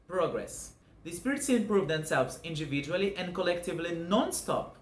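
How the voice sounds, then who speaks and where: A young Brazilian man with a clear Brazilian Portuguese accent talks through a scripted explanation, earnest and measured. His pitch moves a lot, with lively rises and falls. He sounds roomy and echoey, with a faint hum of background noise. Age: 20s. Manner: earnest, measured, lists points one by one. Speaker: channel host explaining a doctrine.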